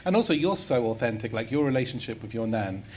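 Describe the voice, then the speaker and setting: A man on a festival panel, telling stories in a lively, chatty, quick way. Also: medium pitch, a little hiss or hum. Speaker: comedian on a panel. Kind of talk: panel discussion. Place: festival stage.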